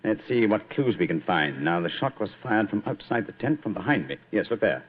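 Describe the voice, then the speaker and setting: A tinny old radio recording carries a shrewd British male detective. He is assured and theatrical, with a very lively, swooping delivery and crisp authority, in vintage radio drama style. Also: fairly low pitch, fairly quick, slight background noise. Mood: brisk, investigative.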